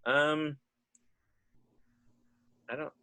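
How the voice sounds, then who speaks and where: A man's voice: a little high, steady tone, extremely slow, sharp tone, clear, resonant tone. A man hosting an informal group chat, talking in a casual, rambling way. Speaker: host of a virtual cast panel, puppet show writer.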